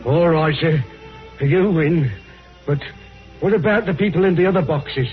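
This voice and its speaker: Casting: male actor for plain-spoken, hesitant bloke, slightly high voice, vintage radio sound with faint music. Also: a little slow, phone-quality sound, lightly acted. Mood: straining, grudging. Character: deferential, uneasy working-class English stagehand or servant. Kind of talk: old-time radio mystery acting.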